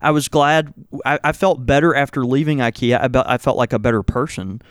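A man in his thirties co-hosting a casual chat, easygoing and teasing, with lots of drawn-out filler words. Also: medium pitch, smooth, no echo, studio quality. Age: 30s. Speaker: podcast co-host and tobacco shop owner.

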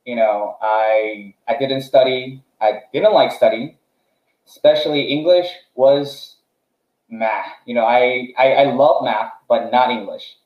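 A man's voice: medium pitch, echoey room, lo-fi sound. A young man from South Korea, a startup founder telling his story as a podcast guest, with frequent restarts and fillers. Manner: halting, many restarts and fillers. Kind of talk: casual podcast interview.

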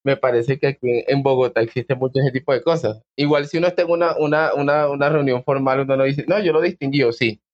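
A man's voice: mid-range voice, quick pace, few pauses, no room sound, highs cut off. A young adult man raised in Venezuela, traces, thinking aloud in a casual group chat.

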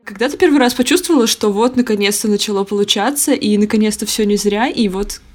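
A woman's voice: mid-range voice, quick pace, soft background music. A woman interviewing a guest on a podcast in a conversational way.

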